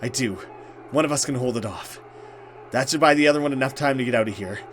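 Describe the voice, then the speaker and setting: A man's voice: medium pitch, quick pace, background noise, natural voice. A man voicing a defiant, protective young woman, the resolute heroine of a horror visual novel.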